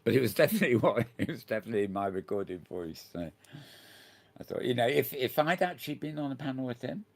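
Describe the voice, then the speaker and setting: A man's voice: mid-range voice, expressive, quick pace, gravelly. An older man hosting a casual chat show, rambling and restarting often as he tells a story. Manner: chatty, rambling, many restarts and stammers. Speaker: host.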